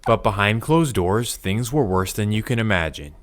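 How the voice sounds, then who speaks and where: A man with a fairly low voice tells a documentary story from a script. His delivery is measured and builds drama. Loud music plays under the narration. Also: expressive.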